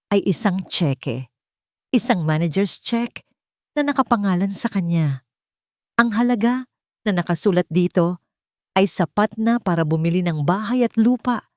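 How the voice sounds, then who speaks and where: A woman's voice: on the low side, a little mellow, no room sound, studio quality. A woman narrating a scripted romantic drama story in a dramatic storytelling style.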